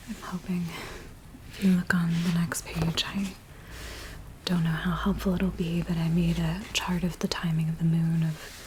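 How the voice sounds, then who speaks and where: A woman playing an anxious, earnest villager in a hushed, breathy, hesitant ASMR roleplay voice. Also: fairly low pitch, close to an everyday voice, low-quality recording. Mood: grave, hushed. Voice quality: breathy, whispery soft voice. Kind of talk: soft-spoken ASMR roleplay. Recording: steady rain noise behind close soft voice. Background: rain ambience.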